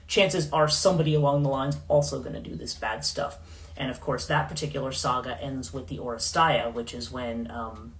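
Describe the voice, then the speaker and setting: A middle-aged woman hosting a casual livestream in her living room, rambling with many fillers and restarts, her voice going hoarse. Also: deep voice. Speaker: podcast host. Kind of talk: casual livestream talk on myth.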